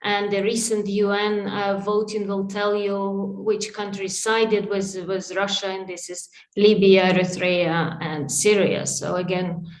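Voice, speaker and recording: A woman's voice: mid-range voice, little pitch movement, a little slow, hardly any pauses, reverberant, rough recording. A woman speaking as a sanctions expert in an interview, giving a fluent, emphatic analysis point by point over a video call.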